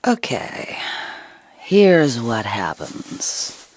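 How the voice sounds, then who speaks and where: A brazen, worldly nymph of the wild, with a very low voice that leaps wildly in pitch. She draws out her words with breathy, bossy relish, in the style of a comic audio play. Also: big pitch swings, extremely slow, a little mellow, full character voice. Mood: sultry, conspiratorial. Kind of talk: comedic audio drama acting.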